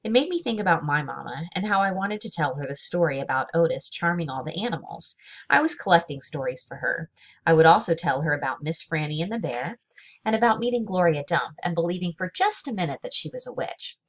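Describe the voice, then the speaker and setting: A woman narrating a children's book aloud in an expressive, measured storytelling manner with deliberate emphasis. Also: sits low. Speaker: read-aloud reader.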